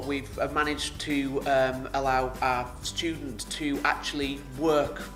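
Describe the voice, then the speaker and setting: A woman with a low voice speaks casually, as a project coordinator in an interview would. Music plays clearly behind her. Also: flat delivery, slow, a bit bright.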